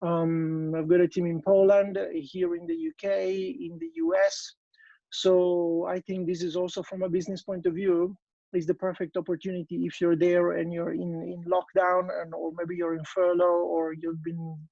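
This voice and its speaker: A man's voice: high-pitched, level tone, moderate pace, vocal fry, mellow, no echo, muffled recording. A man with an Italian accent giving an online business presentation, hesitant and full of fillers and restarts. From Italy.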